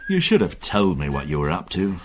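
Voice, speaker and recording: A man's voice: sits low, wildly swooping pitch, a little fast, a little hiss or hum. A man playing a persistent police inspector in a classic radio drama, questioning suspects in clipped, pointed lines. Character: brisk, probing male police inspector.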